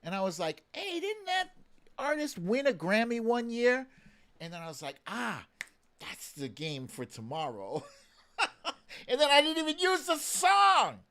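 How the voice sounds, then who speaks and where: A man's voice: very high voice, huge pitch swings, measured pace, pushed and bright. A man hosting a casual live stream, chatting playfully with exclamations as he addresses his viewers.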